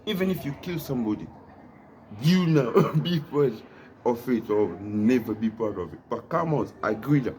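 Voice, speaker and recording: A man's voice: medium pitch, lively intonation, normal speed, darkish tone. A man from Africa vlogging in a rambling monologue, repeating himself and often asking if you know what he means, smoking while he talks. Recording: noisy background hiss.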